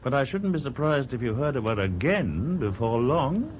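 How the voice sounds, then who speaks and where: A man's voice: mid-range voice, very animated, moderate pace, natural voice. A man playing a courteous, well-informed British Admiralty worker with an educated, precise manner in a radio play. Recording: dull lo-fi radio recording, faint noise.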